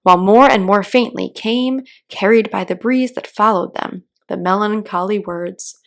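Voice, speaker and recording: A woman's voice: medium pitch, normal speed, vocal fry. A woman narrating a children's book as a read-aloud storyteller. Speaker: storybook reader.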